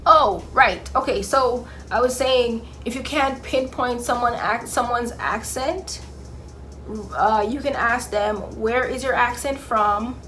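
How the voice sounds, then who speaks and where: A woman's voice: on the high side, slow, sharp tone, a little hiss or hum. A young woman from Jamaica with a mixed Jamaican and Bahamian accent, talking casually to camera with sassy, sarcastic asides. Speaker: vlogger.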